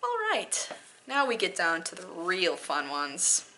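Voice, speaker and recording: Bright-voiced woman, theatrical amateur reader. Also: fairly low pitch, big pitch swings, slow, slight background noise, low-quality recording. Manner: self-deprecating, theatrical. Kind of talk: dramatic poetry reading with casual asides.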